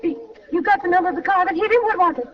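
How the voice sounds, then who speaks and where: A woman's voice: very high-pitched, very fast. A muffled, slightly distant vintage radio recording features a seductive, calculating wife. Her delivery is coy and theatrical, in crime-drama style. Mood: flustered. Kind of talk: old-time radio crime acting.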